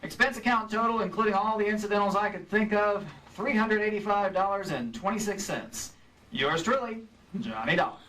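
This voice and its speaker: A man playing a brisk, level-headed insurance investigator who acts and narrates in an old-time radio drama. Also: sits high, expressive, sharp tone. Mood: dry, wrapping up. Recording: distant live stage recording, faint noise.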